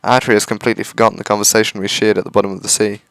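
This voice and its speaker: Guy narrating a visual novel lets play, bit of fry in his voice, earnest and measured, dry mic. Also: fairly low pitch, flat delivery, a bit bright, no echo. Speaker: let's-play host reading a visual novel aloud. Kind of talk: dramatic read-aloud narration with casual asides.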